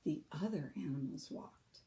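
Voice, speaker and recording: A woman reading a picture book aloud to children like a teacher, deliberate and expressive, pausing to explain. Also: low voice, slight background noise.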